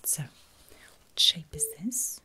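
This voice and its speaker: A woman speaking in a breathy whisper, gently reassuring and encouraging as she plays a clinician in an ASMR medical roleplay. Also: extremely slow. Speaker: ASMR performer playing a surgical clinician.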